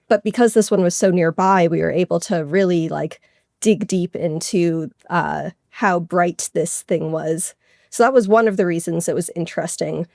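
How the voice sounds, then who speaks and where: A woman's voice: fairly low pitch, normal speed, creaky, a little mellow, no echo, studio quality. A woman scientist explaining her research informally and with enthusiasm in a conversational interview. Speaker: guest scientist, astrophysics researcher.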